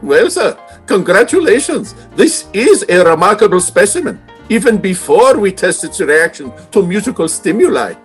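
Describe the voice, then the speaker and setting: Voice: mid-range voice, sing-song, moderate pace, hardly any pauses, faint music behind, lightly acted. A performer playing a proper, politely enthusiastic scientist with a formal manner in an old-time radio comedy. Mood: polite, approving.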